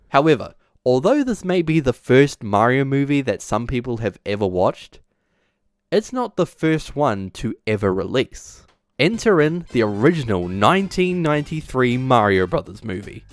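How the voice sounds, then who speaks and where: Man, video host, animated intonation, casual and opinionated commentary, background music. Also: mid-range voice. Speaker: YouTube host. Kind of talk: video essay commentary, part scripted.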